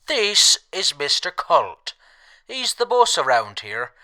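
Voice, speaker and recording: A grumpy, aging wasteland trader voiced by a man speaks in sardonic radio drama dialogue. The delivery is curt and forceful, with a sharp edge. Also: fairly high pitch, very animated, takes it slowly, heavily acted. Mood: irritated, threatening. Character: gruff, grumpy aging male wasteland shopkeeper.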